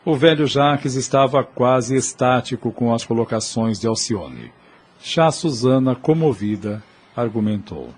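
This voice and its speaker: A man narrates an audio drama from a script. His voice is medium in pitch and has a husky grain. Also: expressive, a little slow.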